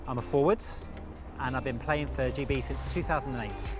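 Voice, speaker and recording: A man's voice: medium pitch, fairly quick. A man from Scotland, a football player, speaking earnestly and with feeling in an interview. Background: music.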